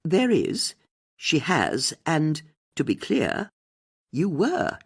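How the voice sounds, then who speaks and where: A woman's voice: low voice, wildly swooping pitch, extremely slow, vocal fry, slightly rough, heavily acted. A woman voicing a shrewd, triumphant older female amateur sleuth in an audiobook, speaking precisely and theatrically as if laying out a case. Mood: assured. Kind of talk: audiobook character dialogue.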